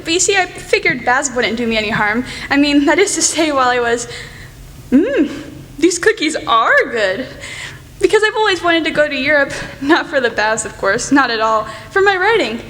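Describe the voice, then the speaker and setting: A young woman playing an outspoken, excitable teenage girl in a radio play, gushing and rambling bluntly. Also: high-pitched, moderate pace. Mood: chatty, eager. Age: teenager or young adult. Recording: echoey hall, faint noise.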